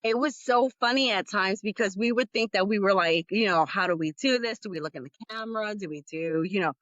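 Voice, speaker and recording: A woman's voice: mid-range voice, a little fast, fairly bright tone, no room sound, highs cut off. A woman hosting her own podcast, talking casually and candidly, rambling with frequent fillers. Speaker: podcast host. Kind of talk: casual solo podcast talk.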